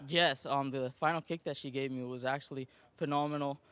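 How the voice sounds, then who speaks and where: A man with a Jamaican accent, a jockey giving a relaxed, joking post-race interview in a soft voice. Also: medium pitch, fairly quick, clean tone. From Jamaica and Florida.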